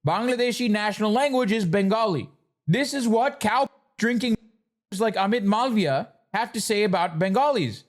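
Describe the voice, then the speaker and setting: A man's voice: sits high, lively intonation, normal speed. A man giving blunt, argumentative political commentary in a casual livestream style, sometimes reading text aloud. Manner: blunt, argumentative, reads texts aloud and interjects. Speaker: online commentator reacting to news.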